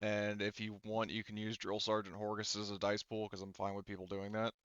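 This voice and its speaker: A low-voiced man acts as game master for a tabletop roleplaying session. He improvises the narration in a halting, rambling, casual way, and the close, dry recording sounds a little dull. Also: completely flat delivery, fairly bright tone, smooth, no room sound. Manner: halting, rambling, informal and profane.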